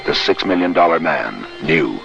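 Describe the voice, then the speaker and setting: A man's voice: extremely low pitch, animated, moderate pace, creaky. A man reading a scripted voice-over for a 1970s toy commercial. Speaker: commercial announcer. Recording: dull vintage TV ad with music. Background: music.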